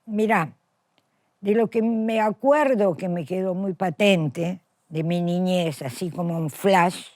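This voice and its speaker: Old lady in her 80s, italian accent, talks real slow and thoughtful, dry close sound. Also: mid-range voice, darkish tone, no echo. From Macedonia. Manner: reflective, self-correcting storyteller. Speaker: interviewee, Holocaust survivor. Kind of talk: recorded testimony interview.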